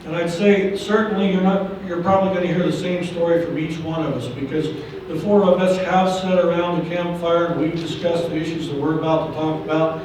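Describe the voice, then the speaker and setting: Older man, earnest courteous panel remarks, higher voice, faraway mic, hiss behind. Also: fairly even intonation, fairly quick, few pauses, darkish tone. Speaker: panelist, cattle association representative. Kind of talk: formal panel introduction. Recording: echoey hall, far from the mic.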